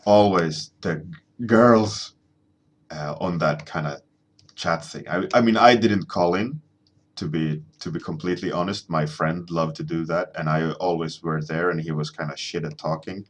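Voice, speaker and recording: A man in his thirties from Sweden with a deep voice, chatting casually and rambling as he streams himself drawing, answering his chat at a desk microphone. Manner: rambling, halting, many ums and restarts, chuckling, answering chat. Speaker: artist streaming a drawing session.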